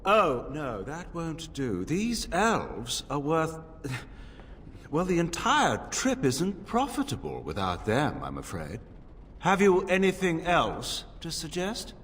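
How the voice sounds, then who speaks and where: An actor playing a slick, haggling slave trader in a video game, with smooth and theatrical delivery. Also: male voice, a little high, huge pitch swings, a bit bright, a little hiss or hum, exaggerated voice. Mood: smug, bargaining. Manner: smooth bargaining, theatrical, deliberate. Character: slick haggling male slave trader, smug until cornered. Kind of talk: video game character acting. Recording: long hall reverb.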